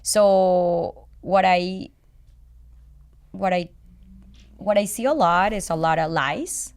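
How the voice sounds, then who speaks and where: A woman's voice: fairly low pitch, takes it slowly, darkish tone, clean tone. A woman from Colombia with a Colombian accent, a native Spanish speaker, talking candidly and casually and repeating phrases for emphasis.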